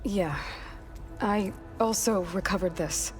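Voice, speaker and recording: A woman's voice: mid-range voice, slow pace, lightly acted. A woman playing a determined, practical young heroine in a video game, speaking plainly and directly. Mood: businesslike. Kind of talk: scripted video game acting. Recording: music behind the voice.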